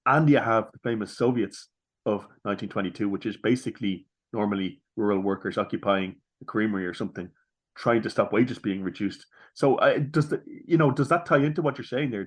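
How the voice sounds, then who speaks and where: Low-voiced man hosting a history podcast. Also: fast talker, husky. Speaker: podcast host. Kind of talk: conversational history interview.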